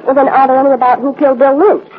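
An actress playing a pert, inquisitive detective's companion, quick and wry, in a 1940s radio drama. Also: female voice, sits high, fairly even intonation, lightly acted. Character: pert, inquisitive young woman, detective's sharp-tongued companion. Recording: muffled, noisy 1940s radio recording.

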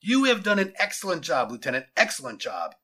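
A man acts out a zealous middle-aged general from an audio storybook. The voice is bright and forceful, pitched fairly high, with big swoops as he declaims and commands like someone who will not be questioned. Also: sing-song, a little fast, heavily acted. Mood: brisk, satisfied. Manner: commanding, declamatory, barking orders.

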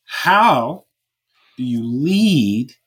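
Stuffy, energetic man. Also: medium pitch, drawn-out words, slightly rough. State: stuffy nose. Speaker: podcast host. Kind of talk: casual podcast chat.

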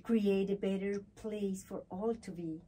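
Woman podcast host, soft warm tone, bit husky, gushes over guest, flips english and spanish. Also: medium pitch, steady tone, unhurried. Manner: effusive, encouraging; switches between English and Spanish. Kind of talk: bilingual conversational podcast interview.

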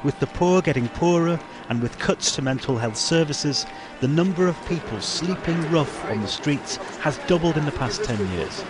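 Actor as a higher-pitched serious newsman. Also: lively intonation, normal speed, hardly any pauses, over music, close to an everyday voice. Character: urgent, serious male news presenter. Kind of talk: scripted news report.

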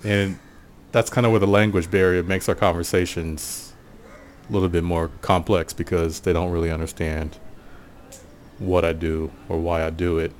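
An American man giving a casual, reflective solo monologue in an unhurried, musing way, often pausing mid-sentence. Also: low-pitched, little pitch movement, slight background noise. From Portland, USA. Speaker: podcast host.

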